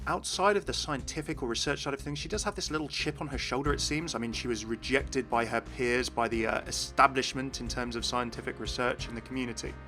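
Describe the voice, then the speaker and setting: A man's voice: mid-range voice, brisk, hardly any pauses, sharp tone. A man hosting a gaming video, narrating in an enthusiastic explainer style. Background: music.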